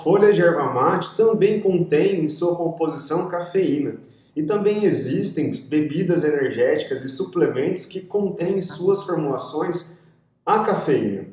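Young man explaining in an echoey room. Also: a little high. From Brazil. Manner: explanatory, steady, with frequent "tá?" and "né?" checks. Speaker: sports nutritionist. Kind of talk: educational video talk to camera. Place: small indoor room with pink lighting. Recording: very echoey room, distant mic.

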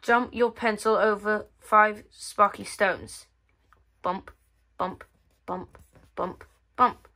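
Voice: medium pitch, steady tone, takes it slowly, sharp tone. A female speaker giving casual commentary on a magazine page by page, reading bits aloud, with hesitant, rephrased sentences. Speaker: host of a magazine flip-through video. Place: indoors at a tabletop.

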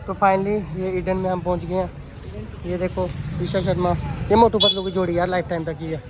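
A man's voice: high-pitched, flat delivery, a little fast. A young man chatting casually to viewers while filming a vlog on his phone, talking to them as guys and friends. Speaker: vlogger. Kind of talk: casual vlog narration to camera. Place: outdoors in town and in a busy restaurant. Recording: noisy phone vlog with music and crowd. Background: music and crowd chatter.

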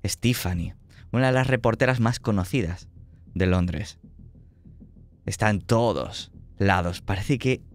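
A man's voice: on the low side, measured pace, long pauses. A man with an accent from Spain, narrating a suspenseful tabletop roleplaying game as game master. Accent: Spanish from Spain.

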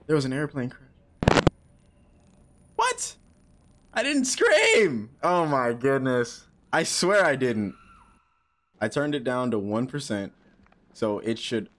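A man's voice: medium pitch, a little hiss or hum. A young man in his twenties streaming a horror game on a headset mic, joking nervously and talking to himself. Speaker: gaming streamer. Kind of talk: casual horror game commentary.

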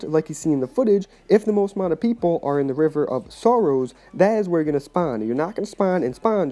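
A man's voice: high-pitched, dark tone. A young man who is sick, casually narrating a video game glitch tutorial and repeatedly addressing viewers as "you guys". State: sick, voice a bit off. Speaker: gaming channel host.

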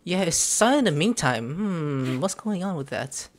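A man's voice: fairly high pitch, big pitch swings, moderate pace. A young man hosting a gaming video, giving casual gameplay commentary from a small room. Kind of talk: casual gameplay commentary, reading dialogue choices aloud. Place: small room indoors at a computer.

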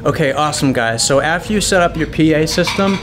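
A man's voice: a little high, lively intonation, a little fast, music in the background. A man in his thirties giving casual, conversational training to camera in an informal, rambling way. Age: 30s. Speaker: paint party business owner giving training. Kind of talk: casual instructional talk to camera. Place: small restaurant dining room, indoors.

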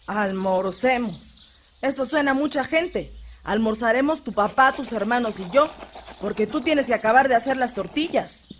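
A woman's voice: a little high, lively intonation, measured pace, a little hiss or hum. A woman playing a harsh, scornful, bossy rural mother with a Mexican accent in an audio drama. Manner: scolding, dismissive, bossy. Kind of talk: audio fiction acting.

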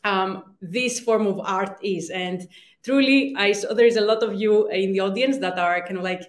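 A woman's voice: mid-range voice, moderate pace. A young woman from Greece, a startup founder giving a conference talk, hesitant, with many ums and restarts. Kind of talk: conference presentation. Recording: roomy reverb.

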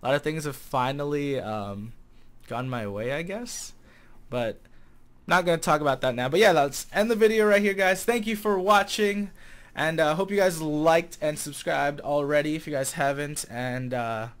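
A man's voice: fairly high pitch, moderate pace. A twenty-year-old man with an American accent talks casually to camera as a vlogger, easygoing and a bit hesitant as he thinks aloud. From Chicago area, United States. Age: 20 years old. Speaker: vlogger and game server staff manager. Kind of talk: casual vlog chat to camera. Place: small room at home, indoors. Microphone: desk condenser mic with pop filter.

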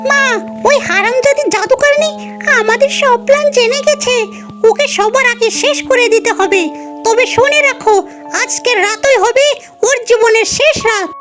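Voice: very high-pitched, moderate pace, fairly bright tone. A performer voicing a spiteful, scheming female character in a cartoon fable, exclaiming and scolding, with music behind. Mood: spiteful, plotting.

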